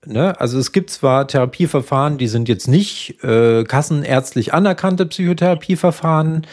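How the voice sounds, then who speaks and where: A man's voice: a little high, moderate pace. Man explaining casually. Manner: relaxed, explains with fillers and tag questions. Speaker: psychotherapist guest. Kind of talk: casual podcast conversation.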